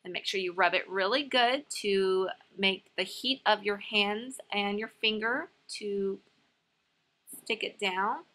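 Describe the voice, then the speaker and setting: A woman's voice: mid-range voice, takes it slowly, bright, forceful tone. A woman hosting a nail art tutorial in a casual, instructional voice-over.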